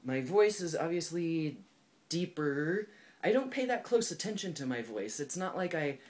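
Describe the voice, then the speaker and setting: A man's voice: on the high side, big pitch swings, normal speed, darkish tone. A young man in his twenties talking candidly and thoughtfully to camera for his personal vlog, with lots of likes and ums. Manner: thoughtful and candid, heavy use of "like" and "um". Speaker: video blogger. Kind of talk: casual personal vlog talk.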